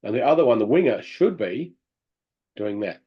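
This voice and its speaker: A man coaching amateur hockey players over a call, talking through game footage in an animated way and laughing often. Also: mid-range voice, moderate pace, breathy edge, dull audio. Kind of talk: video review of game footage. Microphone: video call.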